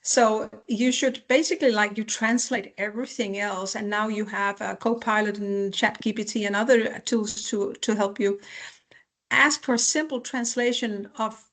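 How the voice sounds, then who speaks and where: A woman's voice: mid-range voice, steady tone, moderate pace, fairly bright tone. A middle-aged woman, a former company CEO, giving practical advice while answering audience questions in a webinar.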